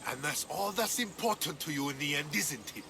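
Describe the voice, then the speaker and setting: An actor playing a bitter, angry, defiant mercenary in a video game. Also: male voice, a little high, expressive, a little fast, creaky, background noise. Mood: scornful.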